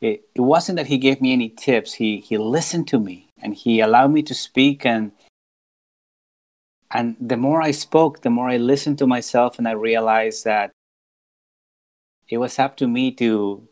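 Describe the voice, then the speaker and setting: A man's voice: medium pitch, unhurried, darkish tone. A man in his forties, from Peru, speaking as a podcast guest in an earnest, reflective way, often restarting and repeating words as he thinks. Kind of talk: casual podcast conversation.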